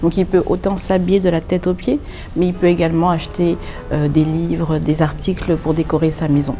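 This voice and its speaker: A woman's voice: sits low, flat delivery, brisk, dark tone, soft background music. A woman from France with a French accent, a designer and boutique founder answering interview questions earnestly, with many hesitations as she explains at length. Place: pop-up boutique.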